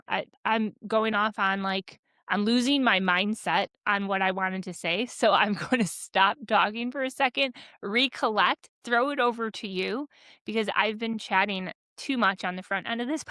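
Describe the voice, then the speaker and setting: A woman's voice: medium pitch, sharp tone, dry recording. Fired-up woman ranting, very dry sound. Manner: fired-up, ranting, rambling with restarts. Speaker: podcast co-host. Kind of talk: candid casual chat.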